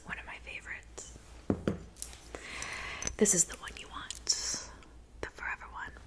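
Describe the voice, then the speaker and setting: A woman playing a soft-spoken witch in an ASMR roleplay, whispering breathily and unhurriedly, as if to a client close by. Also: lightly acted. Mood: soft, mysterious. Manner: unhurried, intimate, addressing the listener as a client. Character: soft-spoken witch mixing love potions in her cabin.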